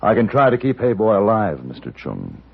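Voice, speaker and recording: An actor playing a cool, courteous, steely gun-for-hire in a 1950s Western radio drama, speaking in a measured, dry, formal way. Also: male voice, fairly low pitch, huge pitch swings, creaky, exaggerated voice. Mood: grave. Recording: muffled vintage radio recording.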